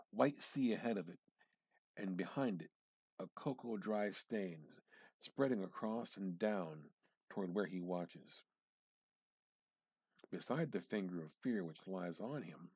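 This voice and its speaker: A man gives a dramatic reading of literary fiction, deliberate and intense, halting for dramatic pauses. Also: fairly low pitch, a little mellow, slightly rough, no room sound. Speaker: amateur reader.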